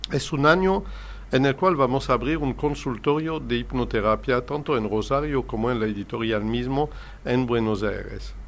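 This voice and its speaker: A man's voice: medium pitch, moderate pace. An older man speaking Spanish as a second language, with a middle-range voice. He explains at length in an earnest, lecturing way, like a hypnotherapist being interviewed. A faint hiss sits under the recording. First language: not Spanish. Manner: earnest, explanatory, non-native Spanish phrasing. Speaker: hypnotherapist guest.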